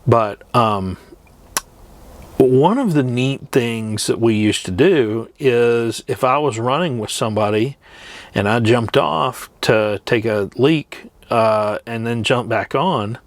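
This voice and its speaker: A middle-aged man talking in a casual, rambling vlog style, plain-spoken and hesitant, with trailing pauses. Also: medium pitch, no echo. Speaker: truck driver and vlogger. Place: truck cab.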